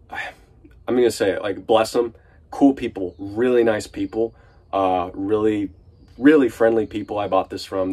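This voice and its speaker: Guy vlogging from inside a half-built van, stuffy nose from a cold, lowish voice, rambly and chill. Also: darkish tone. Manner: rambling and chatty, lots of fillers and restarts. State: nasal from a cold. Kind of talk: casual vlog update. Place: inside an unfinished cargo van.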